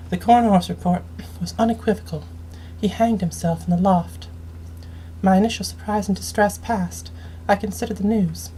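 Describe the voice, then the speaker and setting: A woman reading a scripted first-person story aloud in a measured, solemn, dramatic way. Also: medium pitch, warm tone, slight background noise, poor audio. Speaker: game streamer reading aloud.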